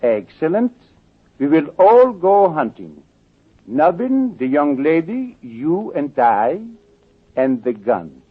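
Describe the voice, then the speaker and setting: An actor playing an eager, theatrical villain in old-time radio drama, formal and commanding in his excitable delivery. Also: on the high side, slow, husky, heavily acted. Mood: gleeful command. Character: eager, greedy, theatrical male villain giving orders. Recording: tinny, noisy old radio recording.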